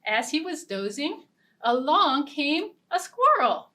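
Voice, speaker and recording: A woman librarian leading a children's storytime, singing simple repeated verses and reading a picture-book story in a gentle, expressive way. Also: high voice, takes it slowly, recorded from a distance. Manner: gentle, sing-song and expressive. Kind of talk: children's storytime with songs and ukulele.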